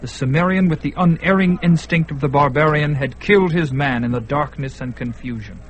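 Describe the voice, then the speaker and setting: A man's voice: a little high, a little fast, lo-fi sound. A man narrating a radio drama in a vivid, dramatic way. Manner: vivid, building intensity.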